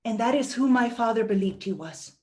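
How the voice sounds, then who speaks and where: A woman reading a first-person monologue aloud as a guest reader, with a deliberate, earnest storytelling delivery. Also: on the high side, expressive, a little mellow.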